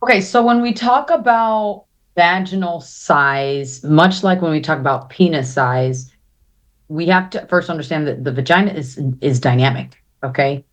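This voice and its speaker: A woman doctor explains as a guest in a very low, steady voice. She is confident and direct, and often pauses to check that the listener follows. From United States. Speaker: urologist guest. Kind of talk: expert explanation in interview.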